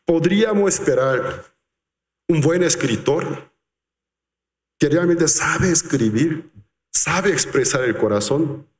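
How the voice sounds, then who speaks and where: Male pastor, unhurried lively sermon delivery, reverberant church hall sound. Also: fairly high pitch. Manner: animated, acts out quotes, slows for emphasis.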